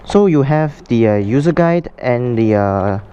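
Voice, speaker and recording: A man presenting a casual, unscripted product unboxing for a vlog, explaining things in a halting way. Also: medium pitch, expressive, mellow, dull audio, a little hiss or hum.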